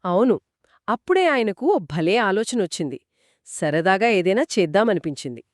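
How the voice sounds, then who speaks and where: A woman co-narrating a children's story in a conversational, expressive and engaging style. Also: mid-range voice, moderate pace, dry recording, clean studio sound. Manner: expressive, engaging, acts out characters' quoted lines.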